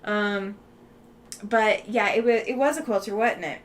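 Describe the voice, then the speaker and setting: A woman's voice: mid-range voice, fairly even intonation, a little slow, a little hiss or hum. A woman from the Southeastern US with a faint Southeastern accent, hosting a casual livestream and chatting back to viewer comments. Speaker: livestream host.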